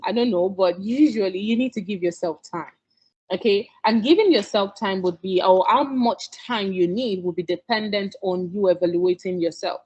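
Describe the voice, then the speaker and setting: A woman's voice: medium pitch, normal speed, darkish tone, husky, lo-fi sound. A Nigerian woman whose first language is Yoruba, giving an earnest exam-prep lesson as a tutor, coaxing listeners and checking in with "okay?". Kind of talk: instructional talk.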